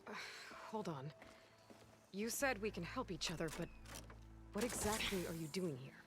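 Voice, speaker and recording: A woman's voice: medium pitch, lively intonation, normal speed, over music, close to an everyday voice. An actress playing a determined, wry young female machine hunter in a video game, with a casual, direct manner. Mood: guarded. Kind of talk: video game cutscene dialogue.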